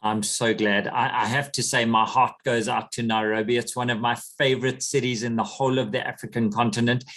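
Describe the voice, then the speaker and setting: A man hosting a podcast who interviews his guests in an effusive, enthusiastic way with quick asides. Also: mid-range voice, level tone, fairly bright tone. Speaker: podcast host.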